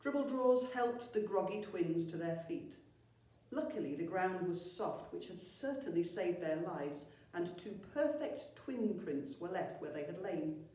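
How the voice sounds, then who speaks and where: Echoey, mellow woman reading a children's story. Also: on the low side, a little slow. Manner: animated, theatrical delivery. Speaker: teacher reading a story to pupils. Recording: very echoey room, distant mic.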